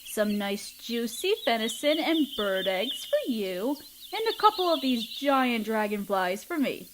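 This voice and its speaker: Woman doing a loving spider queen mom for roleplay audio, high lilting voice, sweet n patient, bit regal. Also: very animated, measured pace, noisy background. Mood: affectionate teasing. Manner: tender, patient, encouraging, formally worded. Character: doting motherly spider queen, regal, gently teasing, protective. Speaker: mother figure teaching a language. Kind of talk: roleplay audio, softly acted monologue.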